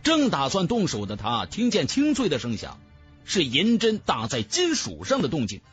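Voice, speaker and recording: A man's voice: a little high, wildly swooping pitch, moderate pace, faint music behind. A man narrating a fantasy audiobook in a dramatic scripted style.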